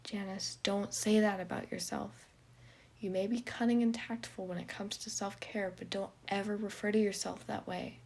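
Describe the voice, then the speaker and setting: A woman voicing a caring young man who soothes a frightened friend in a soft, gentle, husky voice. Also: mid-range voice, level tone, moderate pace.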